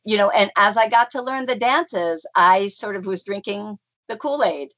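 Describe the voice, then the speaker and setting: A woman's voice: medium pitch, moderate pace, a bit bright. Chatty middle-aged woman, rambling guest. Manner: chatty and rambling, many fillers and restarts. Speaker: interview guest, folk dancer. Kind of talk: casual conversational interview.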